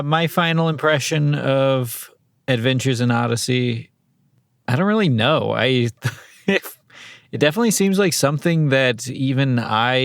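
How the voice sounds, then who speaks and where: A man co-hosting a casual podcast, speaking in a laid-back, halting way. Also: medium pitch, vocal fry, smooth, no echo, clean studio sound.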